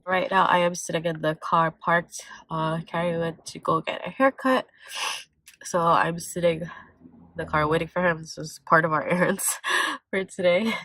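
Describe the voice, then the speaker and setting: A young woman with a stuffy, congested voice chats on a vlog from a parked car. She rambles and corrects herself, her voice sitting a little low and close to the mic, with a dry sound and no room around it. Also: flat delivery, moderate pace, fairly bright tone, no echo, poor audio. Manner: rambling, self-correcting, many fillers. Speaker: vlogger. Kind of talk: casual vlog chat.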